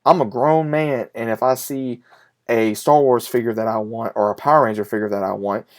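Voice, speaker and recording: Male vlogger, late 20s, medium pitch. Unscripted, conversational delivery, sincere and emphatic, with repetition and frequent pauses. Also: steady tone. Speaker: YouTube vlogger and collector. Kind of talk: casual, unscripted chat to viewers.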